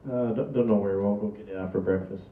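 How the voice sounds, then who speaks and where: A man's voice: fairly low pitch, fairly even intonation, fast talker, close to an everyday voice. Man reading as dry sensible son, tinny, distant. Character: exasperated, sensible adult son and brother. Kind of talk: amateur table read from script. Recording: tinny echoey distant camera audio.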